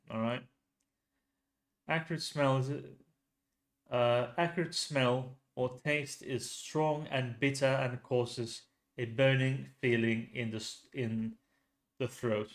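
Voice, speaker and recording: A man with a Finnish accent, host of a true crime channel, talking casually with lots of restarts and pauses. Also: mid-range voice. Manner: hesitant, many restarts and fillers. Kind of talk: casual solo commentary.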